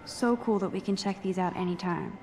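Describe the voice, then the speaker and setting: A woman's voice: medium pitch, fairly quick, darkish tone, slight background noise, natural voice, low-quality recording. Actress doing shy american teen girl, geeky photo student in a video game, wry and slangy, self-doubting. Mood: quiet admiration. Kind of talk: introspective game voice acting.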